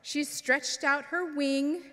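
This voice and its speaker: Female storyteller for all ages, highish voice, slow delivery with dramatic pauses, long hall reverb. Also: fairly even intonation, pushed and bright. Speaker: minister reading a children's story. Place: church service in a large hall.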